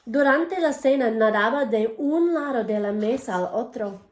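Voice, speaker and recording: Echoey teacher reading aloud to kids. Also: female voice, a little high, animated. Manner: reads in English then Spanish, pauses to ask children questions. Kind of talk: bilingual read-aloud for children. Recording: echoey room.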